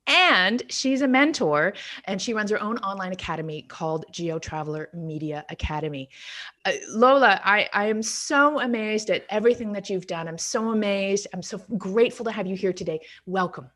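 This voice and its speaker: A woman's voice: mid-range voice, moderate pace, a bit bright. A woman hosting an interview, reading an enthusiastic introduction of her guest. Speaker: interviewer host. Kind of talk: video interview.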